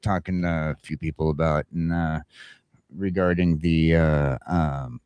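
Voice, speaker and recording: A man hosting a casual morning chat show, talking in a halting, repetitive, opinionated way. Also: rumbling low, steady tone, darkish tone, smooth, dry recording. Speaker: talk show host.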